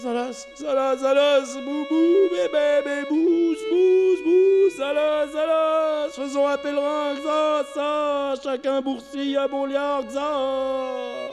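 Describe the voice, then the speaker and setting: A man's voice: very high-pitched, level tone, takes it slowly, few pauses, over music, heavily acted. A man voicing a cowardly, whimpering figure who babbles, stammers and pleads, in theatrical storytelling. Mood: wailing panic. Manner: wailing, blubbering, stammering nonsense syllables.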